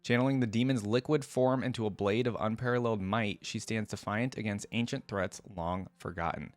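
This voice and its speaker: Guy doing gaming reaction commentary, lowish voice, very dry sound, conversational, kinda thinking out loud. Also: fairly quick, dry recording. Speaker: video host. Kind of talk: casual gaming reaction commentary with read-aloud text.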